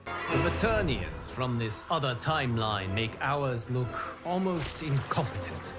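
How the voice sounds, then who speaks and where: A man voicing a solemn, godlike male guardian of the timelines, narrating game cutscenes in a grave, measured way. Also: medium pitch, sing-song, loud music behind the voice.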